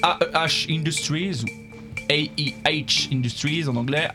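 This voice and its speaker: A man's voice: on the high side, unhurried, music in the background. A man hosting a live quiz game, reading out questions and bantering playfully with the contestants. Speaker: quiz game show host.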